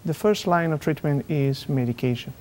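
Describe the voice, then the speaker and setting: A man's voice: on the high side, a little mellow. A man from Spain, a neurosurgeon guest on a TV talk show, explaining carefully with many fillers. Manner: measured, halting with fillers. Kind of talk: TV interview, explaining.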